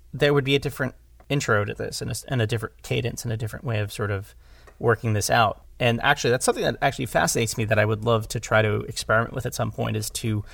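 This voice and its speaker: A man talking casually as a podcast guest about his craft as a director, halting and animated as he thinks aloud. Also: mid-range voice, vocal fry, no echo. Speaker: audio drama director, interview guest.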